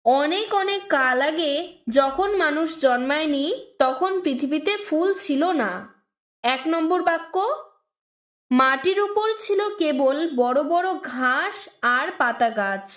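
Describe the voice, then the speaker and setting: A woman with a high-pitched voice reads out a school lesson very slowly. She breaks it into short, deliberate phrases, as if dictating to young pupils. The room around her is clearly echoey. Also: lively intonation, a bit bright. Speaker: teacher presenting textbook answers to young pupils. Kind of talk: scripted lesson read aloud, dictation-like.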